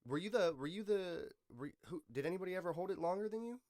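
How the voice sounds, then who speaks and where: A man's voice: high-pitched, expressive, quick pace, a little mellow, no echo, clean studio sound. A man hosting a podcast, asking questions in an informal, slangy way. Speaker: podcast host. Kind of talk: casual interview chat. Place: close-miked podcast recording.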